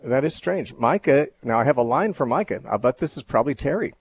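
Husky-voiced man hosting a call-in Bible show. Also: medium pitch, animated. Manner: thoughtful, measured, with frequent fillers and restarts. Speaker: radio call-in show host.

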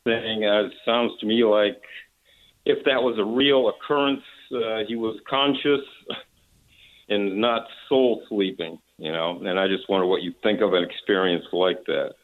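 Low-voiced man on a tinny phone line. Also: fairly even intonation, measured pace. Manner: earnest, hesitant with fillers. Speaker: caller. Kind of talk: phone-in caller telling a story.

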